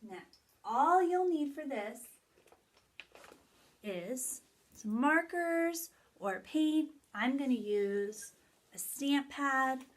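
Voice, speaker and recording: A woman with a fairly high voice speaks as an early childhood teacher. She talks directly to small children in simple phrases, walking through activities step by step and stressing the key words. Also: expressive, drawn-out words, pauses often. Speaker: early childhood teacher hosting story time. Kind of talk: children's lesson, read-aloud and activity instructions.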